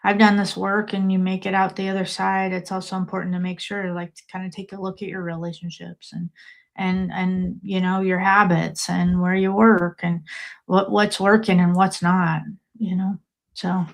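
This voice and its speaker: A woman in her fifties as a podcast guest, speaking in a measured, reflective way. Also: fairly low pitch, little pitch movement, vocal fry. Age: 50s. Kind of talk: interview answers.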